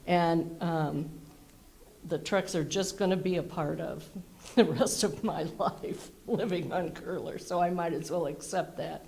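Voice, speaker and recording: A woman resident speaking loudly and directly at a public planning meeting, with a gravelly voice. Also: on the low side, measured pace, slight background noise. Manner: loud, direct, chatty. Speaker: local resident commenting. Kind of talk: public comment at open house.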